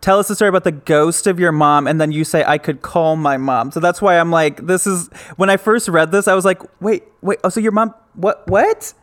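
A woman's voice: fairly low pitch, brisk, few pauses. A woman hosting a casual interview, reacting eagerly to guests' stories. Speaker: podcast host.

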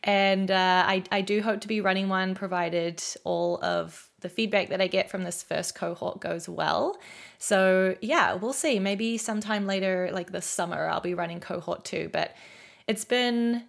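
A young woman co-hosting a podcast, chatting in a relaxed, upbeat way with lots of "like" fillers. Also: mid-range voice, measured pace, vocal fry. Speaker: podcast co-host. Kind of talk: casual chat.

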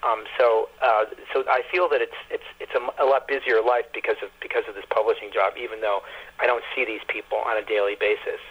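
A man speaking as an author guest in a radio interview, haltingly, often repeating words as he thinks. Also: on the low side, steady tone, husky. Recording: muffled lo-fi phone-like line.

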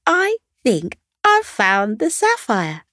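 A woman voicing an eager, sweet female pet dog in a children's story, with an excited manner. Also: on the high side, takes it slowly, no room sound, studio quality, voice pushed up, heavily acted.

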